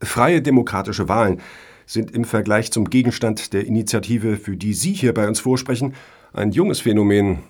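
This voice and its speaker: A man's voice: fairly low pitch, normal speed, breathy edge. A man playing a probing foundation board member in a satirical radio play, formal and inquisitorial.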